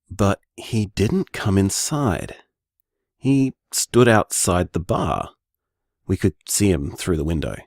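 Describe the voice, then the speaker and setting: An actor playing a gentle young man who owns a bar and practices magic, leaving chatty, musing voice messages full of hedges and fillers. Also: deep voice, breathy edge, no echo, clean studio sound, heavily acted. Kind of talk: audio drama voice message.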